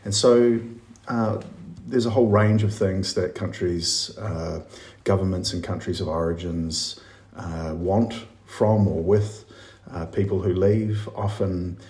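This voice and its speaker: A New Zealand man with a very low voice answers interview questions in an explanatory, friendly way, filling pauses with "uh". His voice sounds echoey, as if recorded in a reverberant room. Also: fairly even intonation, a little mellow. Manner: friendly, lots of "uh" fillers. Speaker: professor, guest expert.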